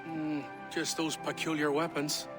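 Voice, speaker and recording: A man's voice: on the high side, completely flat delivery, measured pace, lightly acted. An actor playing a grumbling, blunt but good-hearted old Irish townsman in a video game cutscene. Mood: gruff, reluctant. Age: older. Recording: music playing behind the voice.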